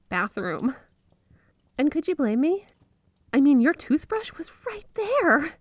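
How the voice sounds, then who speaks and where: A woman playing a flirty, obsessively devoted yandere wife in an intimate ASMR roleplay, gushing straight to the listener with drawn-out emphasis. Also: a little high, dry recording. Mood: flustered, excited. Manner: gushing, breathy giggles, drawn-out emphasis on words.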